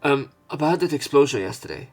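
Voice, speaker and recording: A man's voice: medium pitch, animated, a little fast. A man doing let's play commentary, reading game text aloud and adding informal, rambling, hesitant remarks. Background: soft game music.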